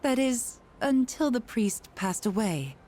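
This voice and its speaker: A talkative middle-aged neighborhood mother who passes on local stories in a friendly, gossipy way. Her voice sits a little high. It is a video game cutscene. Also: expressive, slow, dark tone, faint music behind. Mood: chatty. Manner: chatty, storytelling.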